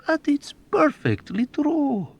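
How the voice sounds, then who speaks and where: A wickedly amused, extremely old scoundrel, put on by a male narrator in a spoken-word story. His voice rides high and unhurried, with silky, teasing pauses and a sweeping melodic range. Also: sing-song, vocal fry, exaggerated voice. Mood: suave, mocking. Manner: suave, teasing, drawn-out delivery. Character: sly villainous 107-year-old man, mocking.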